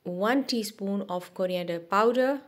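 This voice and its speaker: A woman narrating a cooking video as a voice-over, giving steady step-by-step instructions with small restarts. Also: medium pitch, a little slow. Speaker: cooking channel host.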